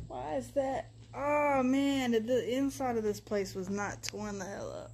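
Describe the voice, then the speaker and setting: A woman's voice: high-pitched, slow, noisy background. A woman walking through an abandoned house with a handheld camera, talking casually and swearing as she looks around. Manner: chatty, swears freely, thinks aloud. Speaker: urban explorer vlogger. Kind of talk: casual walkthrough narration. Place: abandoned wooden house, indoors and on porch.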